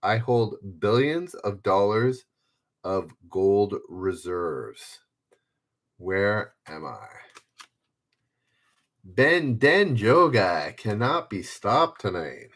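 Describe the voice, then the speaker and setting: A man's voice: lowish voice, fairly bright tone. A man hosting a trivia livestream, reading clues in the first person and chatting casually with viewers by name.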